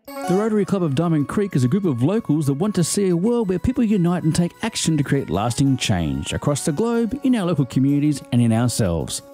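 A man's voice: fairly high pitch, sing-song, brisk, dark tone. A man reading a scripted community radio announcement in an upbeat announcer style. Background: music bed.

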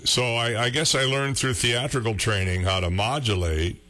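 A man with a deep baritone voice who works in radio, chatting casually and thinking aloud in a relaxed, self-deprecating way. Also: moderate pace. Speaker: radio host. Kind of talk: casual conversation.

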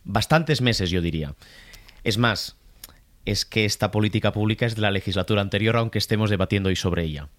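Lower-voiced man, hesitant commentator. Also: fairly bright tone. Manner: measured, with hesitant fillers. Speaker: political commentator. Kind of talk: radio panel discussion. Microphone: studio microphone.

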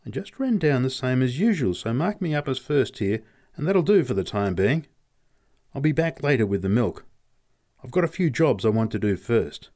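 A man voicing a gruff, irritable dairy farmer in storybook dialogue, snapping and blustering urgently. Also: mid-range voice, sing-song, moderate pace, darkish tone, voice pushed up. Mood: brisk, insistent.

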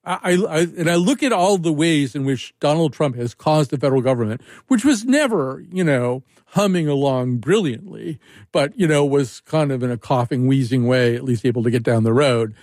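A man's voice: medium pitch, expressive, moderate pace, darkish tone, dry recording, studio quality. A man hosting a call-in radio show, talking casually in a rambling, wry way and often restarting his sentences. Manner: rambling, self-interrupting, wry.

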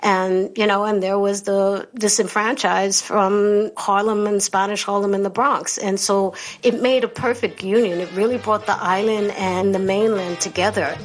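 A woman's voice: mid-range voice, fairly even intonation, moderate pace, hardly any pauses, faint music behind. A woman guest speaking in a conversational, reflective way. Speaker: guest commentator.

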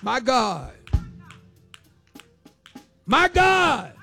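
Male preacher, seventies. Very high pitch, slow rhythmic declamation, impassioned and repetitive. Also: sing-song, vocal fry, pushed and bright, faint music behind. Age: 70s. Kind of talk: impassioned sermon.

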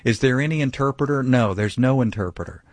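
A man's voice: mid-range voice, fairly quick, a little mellow, no echo. Emphatic male radio Bible teacher. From grew up speaking some Vietnamese. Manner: emphatic, rhetorical questions. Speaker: pastor.

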